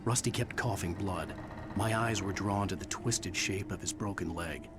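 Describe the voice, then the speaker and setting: A man narrating a horror video game in a measured, brooding voice as the writer lead. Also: sits low.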